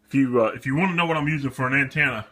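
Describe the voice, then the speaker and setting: Casual man with a bright voice. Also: medium pitch, racing. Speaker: seller demonstrating a radio. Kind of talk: casual product demonstration.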